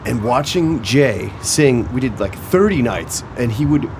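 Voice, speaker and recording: A man's voice: medium pitch, animated, measured pace, background noise. A man hosting a podcast, chatty and jokey, often finishing his guest's sentences. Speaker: podcast host and guitarist. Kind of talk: casual conversation.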